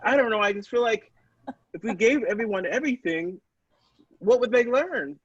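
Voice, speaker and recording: A man's voice: sits high, expressive, moderate pace, breathy edge, no room sound, pitched above the performer's own voice. A man playing a meek, devoted, earnest angel who speaks hesitantly in improvised comedy, recorded dry and slightly dull. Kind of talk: improvised comedy radio play.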